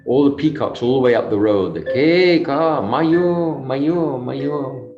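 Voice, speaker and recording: A man, a swami, guides a devotional pilgrimage in an unhurried, musing way, speaking in halting fragments and repeating himself. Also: medium pitch, expressive. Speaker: swami guiding a virtual pilgrimage. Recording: dull lo-fi audio. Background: kalimba music, soft mallet-like tones.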